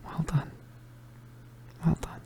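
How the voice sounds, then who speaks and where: A woman playing a gentle, protective young male witch who is hurt and vulnerable, speaking in halting, breathy, whispered ASMR roleplay. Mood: stubborn, amused. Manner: halting, stammering, hesitant. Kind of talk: ASMR roleplay, soft intimate acting.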